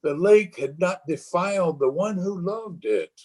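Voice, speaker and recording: An actor playing a haunted, superstitious, fearful husband in the dramatic, halting style of a 1930s radio horror play. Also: male voice, high voice, expressive, darkish tone, heavily acted. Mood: haunted, awed. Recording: husky, muffled voice recorded over video call.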